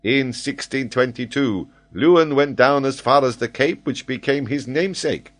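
A man voicing a proud, excitable French geographer from a storybook, pedantic and emphatic as he lectures. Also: medium pitch, normal speed, pitched above the performer's own voice, natural voice. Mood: eager lecturing.

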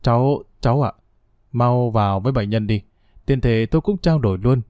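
A man's voice: mid-range voice, normal speed, dark tone, no room sound, clean studio sound, lightly acted. A man voicing a middle-aged hospital doctor who speaks in a formal, somber way.

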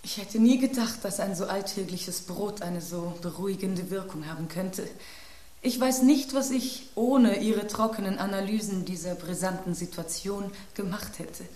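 A woman's voice: mid-range voice, normal speed, warm tone, lightly acted. An actress playing an anxious, poetic woman in a radio drama, theatrical and exclamatory. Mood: relieved, wistful. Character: melodramatic, anxious, poetic woman at a tense dinner. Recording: roomy sound with hiss.

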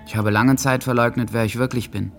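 A man playing a brooding, alienated teenage boy, narrating dark writings intensely and deliberately in a radio drama. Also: mid-range voice, fairly even intonation, soft background music, close to an everyday voice. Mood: confessional.

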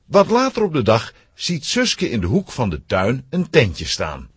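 Animated, slightly husky male storyteller. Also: mid-range voice, unhurried, no echo. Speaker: narrator. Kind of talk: scripted children's story narration.

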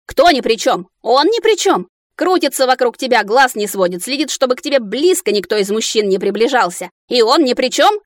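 A woman voicing a hot-headed young male mage in an audiobook, loud, indignant and blustering. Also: on the high side, lively intonation, a little fast, few pauses, dry recording, clean studio sound, natural voice. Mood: furious. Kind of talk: audiobook character acting.

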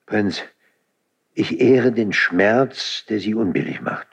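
An actor playing a loyal, concerned count in a classical radio drama, husky, speaking in an earnest, measured, deferential way. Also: male voice, fairly low pitch, animated, highs cut off, exaggerated voice. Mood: earnest warning. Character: loyal, concerned count, cautiously warning his prince. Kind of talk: classical radio stage acting.

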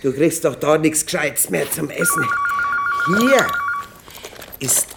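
A woman's voice: low voice, expressive, takes it slowly, creaky, very noisy, exaggerated voice. An actress playing an older, chatty and blunt country policewoman with a southern German accent in a radio drama. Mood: chatty, gossipy. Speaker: actor in radio crime drama. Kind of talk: naturalistic radio drama acting.

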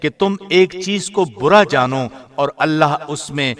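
A man reads translated scripture from a script. His delivery is formal and measured, like a broadcaster's, in a moderately high voice. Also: a bit bright. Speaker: translation reader, radio host.

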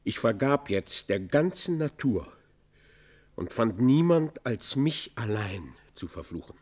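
An actor playing a repentant robber chieftain who confesses in a solemn, declamatory and literary manner in a classic German radio play. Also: male voice, medium pitch, sing-song, takes it slowly, a little mellow. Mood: tormented.

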